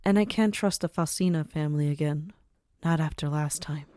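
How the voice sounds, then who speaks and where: Commanding mafia matriarch played by a woman: lowish voice, cultured, poised and formal, sly warmth, close dry sound. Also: moderate pace, dry recording, natural voice. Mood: earnest, businesslike. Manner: poised, grandly formal, uses endearments like dearie. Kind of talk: scripted audio roleplay acting.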